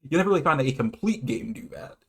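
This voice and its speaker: A man's voice: on the high side, sing-song, quick pace, husky. A man hosting an informal video explainer, half reading and half ad-libbing, often stumbling, correcting himself and chuckling between phrases. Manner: hesitant, stumbles over words and self-corrects. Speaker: YouTube host presenting his own definitions.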